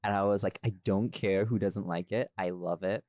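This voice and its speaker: A man with a fairly deep voice talks in a casual, expressive podcast style. He sounds like a creator going over behind-the-scenes notes. The recording is dry, with little room sound. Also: normal speed, no room sound. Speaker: audio drama creator, podcast host.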